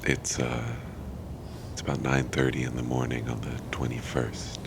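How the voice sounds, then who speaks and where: A hoarse man who has lost his voice, recording a halting, groggy field memo outdoors in a city. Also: rumbling low, flat delivery. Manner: halting, groggy, repeated restarts. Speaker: podcast host recording a field diary. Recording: handheld field recorder with loud street noise.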